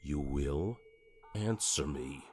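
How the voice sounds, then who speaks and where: An actor playing a pompous, menacing government interrogator, formal and domineering, in an audio drama. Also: male voice, rumbling low, animated, slow pace, darkish tone, full character voice. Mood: threatening.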